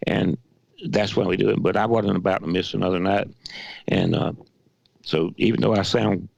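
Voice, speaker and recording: Southern radio co-host, man, hoarse and very gravelly, lowish pitch, dry studio sound, folksy and halting. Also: fairly even intonation, a little mellow, no echo, lo-fi sound. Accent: Southern American. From Georgia. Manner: folksy, halting with many restarts. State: hoarse, weak voice. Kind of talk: casual radio chat.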